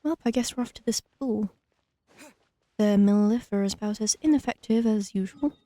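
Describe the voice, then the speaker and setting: A woman's voice: medium pitch, normal speed, warm tone, no echo. A woman giving casual, wry commentary as she plays through a video game. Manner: wry, dry remarks. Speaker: gaming streamer. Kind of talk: casual let's play commentary.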